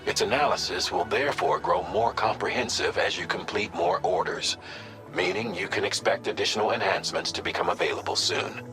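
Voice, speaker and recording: An actor playing a composed, businesslike mission adviser in a video game, giving formal, measured and precise briefings. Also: male voice, low voice, big pitch swings, creaky, roomy sound, music in the background, exaggerated voice. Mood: informative, composed.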